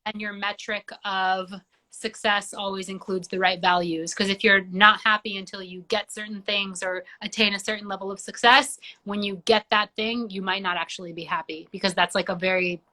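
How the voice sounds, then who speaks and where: A young woman from Georgia, whose first language is Georgian, talks as a guest in a relaxed interview. Her voice is bright and carries a middling pitch. She rambles in a chatty way, full of "like". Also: normal speed, poor audio. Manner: chatty, many "like" fillers. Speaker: singer-songwriter guest. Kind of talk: casual interview chat.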